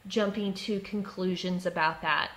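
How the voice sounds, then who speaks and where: Slow-speaking woman with a pronounced stutter. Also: fairly low pitch, fairly even intonation, fairly bright tone. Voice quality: pronounced stutter with blocks and repeated sounds. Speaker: presenter giving workplace advice. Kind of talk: explanatory talk with personal examples.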